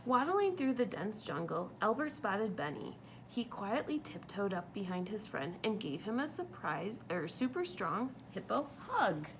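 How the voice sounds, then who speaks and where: A woman reading a picture book aloud for children, pausing to ask them questions about the story. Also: mid-range voice, big pitch swings, noisy background. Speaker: storytime reader.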